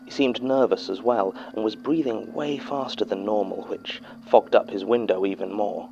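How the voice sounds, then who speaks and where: A British archivist reads an eerie testimony in a horror audio drama. His voice sits low with a gravelly creak, measured and confiding, as if remembering aloud. The recording is slightly dull and muffled. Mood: uneasy, confiding. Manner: measured, confiding, with scripted hesitations. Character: archivist reading aloud a witness's unsettling statement, formal then conversational. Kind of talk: dramatic first-person horror narration.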